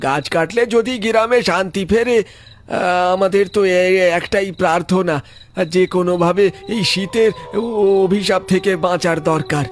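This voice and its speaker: A man's voice: high-pitched, moderate pace, soft background music, close to an everyday voice. A man playing a fearful, pleading villager in a cartoon horror story, repeating his words anxiously.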